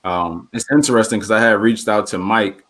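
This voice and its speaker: A man hosting a casual video call chat, talking in a relaxed way with easy slang. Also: lowish voice, fairly quick. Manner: relaxed, warm slang-laced talk. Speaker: host.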